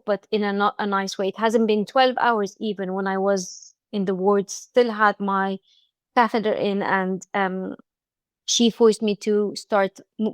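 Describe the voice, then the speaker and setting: A woman's voice: medium pitch, no room sound. A woman from Egypt with an Egyptian accent, a podcast guest speaking in casual interview chat with frequent restarts and fillers.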